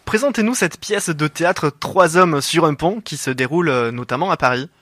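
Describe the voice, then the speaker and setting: A man's voice: on the high side, normal speed, fairly bright tone, dry recording. A male radio host introducing a guest and asking questions, partly read from a script, partly with hesitant fillers. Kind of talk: radio interview. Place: radio studio.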